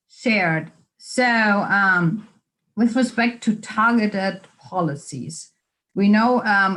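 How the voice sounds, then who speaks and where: A woman from Germany with a German accent, answering a question after her lecture in a measured way, with many ums and pauses, over a video call. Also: medium pitch, fairly bright tone, low-quality recording. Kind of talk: academic Q&A answer.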